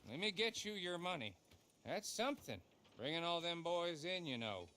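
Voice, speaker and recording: An actor playing an officious small-town lawman in a western game, with an American accent and an animated manner. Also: a little high, moderate pace, a little hiss or hum. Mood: edgy. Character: fussy small-town lawman issuing bounty licences. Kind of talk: dramatic game cutscene acting.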